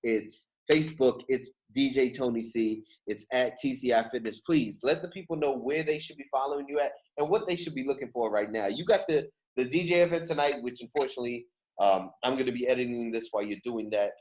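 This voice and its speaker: A man hosting a podcast in casual chat, informal and animated, repeating phrases for emphasis. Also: medium pitch, fairly quick, rough recording. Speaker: podcast host.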